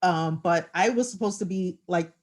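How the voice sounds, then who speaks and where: A woman with a somewhat low voice answers a panel question in a chatty, casual way. She fills her sentences with little asides and fillers. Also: moderate pace, darkish tone.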